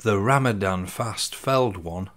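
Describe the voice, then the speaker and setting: A man reading his own poems in a measured, deliberate way, pausing between phrases. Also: lowish voice. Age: 50s. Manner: deliberate, pausing between short phrases, voice dropping at line ends. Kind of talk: slow, measured poetry reading. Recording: soft music underneath.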